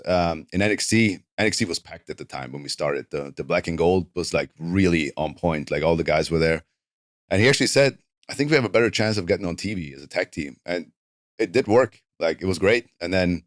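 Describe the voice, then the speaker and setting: Man, 30s, South Tyrolean accent, very low voice, dry studio sound, laid-back rambling guest. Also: no room sound. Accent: South Tyrolean German-Italian. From northern Italy. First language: German dialect. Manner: relaxed, rambling, heavy use of "like". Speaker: interview guest, professional wrestler. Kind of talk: casual interview chat.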